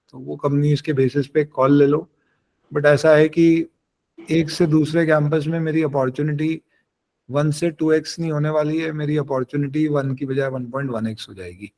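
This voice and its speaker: A man's voice: medium pitch, darkish tone. An Indian man hosting a webinar question-and-answer, explaining things frankly and informally while switching between Hindi and English. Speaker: careers webinar host and mentor. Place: online webinar call.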